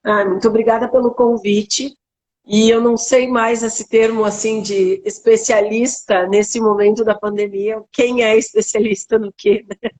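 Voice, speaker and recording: A woman from southern Brazil, a winemaker guest, talking in a lively, easygoing way and laughing easily. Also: fairly high pitch, unhurried, rough recording. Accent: Southern Brazilian (Gaúcho) Portuguese. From Serra Gaúcha, southern Brazil. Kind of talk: conversational interview.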